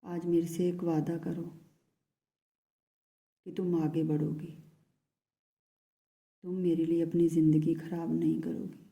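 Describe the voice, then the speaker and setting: A woman speaking in first person as a lovesick man who pleads tenderly with his beloved, in a soft, hushed voice that often drops to a whisper. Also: sits low, level tone, slow, pauses often. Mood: tender, pleading. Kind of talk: channelled love message in first person.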